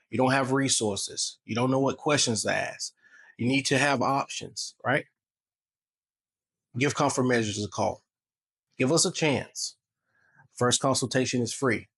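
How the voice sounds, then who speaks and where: Husky guy doing a consulting ad, talking straight to you. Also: a bit bright. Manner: direct, pitching to the listener. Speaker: healthcare consultant. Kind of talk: promotional ad read.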